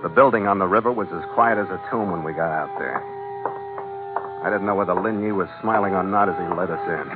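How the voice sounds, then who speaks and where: Man as low-voiced hardboiled American captain, old radio. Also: fast, lightly acted. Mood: suspenseful. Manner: terse, wry, hardboiled storytelling. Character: hardboiled sea captain, tough, wry adventurer. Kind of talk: 1940s-50s radio adventure narration and acting. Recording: tinny vintage radio recording with music.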